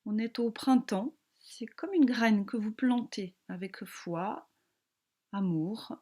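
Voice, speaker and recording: A woman yoga teacher guiding a relaxation meditation in a calm, gentle, soothing manner with long pauses. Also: on the high side.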